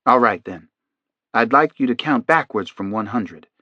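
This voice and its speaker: Male narrator as authoritative, soothing male doctor for audiobook, mid pitch, quiet delivery, slightly dull sound. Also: expressive, normal speed, no echo. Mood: soothing, steady. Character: calm, authoritative male doctor conducting hypnosis.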